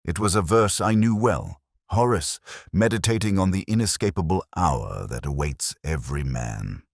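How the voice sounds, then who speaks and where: A man playing a contemplative, brooding police detective, narrating a mystery audio drama in the first person with measured, solemn, literary delivery. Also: low-pitched, expressive, creaky, husky, no echo, clean studio sound, exaggerated voice. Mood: brooding, reflective. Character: brooding, contemplative male police detective recounting a murder case.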